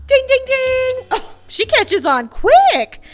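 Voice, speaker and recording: An actress plays a scheming spoiled rich girl in a noir radio drama. Her very high voice is singsong and sarcastic, gloating as she talks down to others. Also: sing-song, slow pace, full character voice. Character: spoiled, mocking young heiress turned scheming villainess. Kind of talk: 1940s noir radio play acting.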